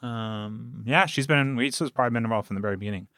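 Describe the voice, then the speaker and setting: Bright-voiced man, casual podcast storyteller. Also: mid-range voice, fast, no echo, studio quality. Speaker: podcast producer and game master, interview guest.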